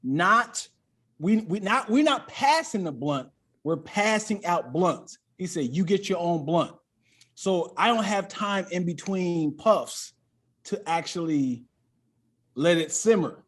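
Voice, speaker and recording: A male comedian with a high-pitched voice talks as a podcast guest. He tells things in a loose, comic storytelling style, animatedly voicing bits of dialogue as he goes. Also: slow. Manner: animated, acts out quoted dialogue.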